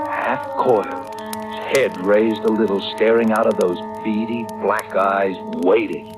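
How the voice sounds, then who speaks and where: A man's voice: lowish voice, lightly acted. An actor playing an earnest, determined man in a 1940s radio thriller, speaking in a dramatic manner. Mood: brooding dread. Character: earnest, determined man haunted by growing dread. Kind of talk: 1940s radio acting with first-person narration. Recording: vintage radio drama, musical underscore.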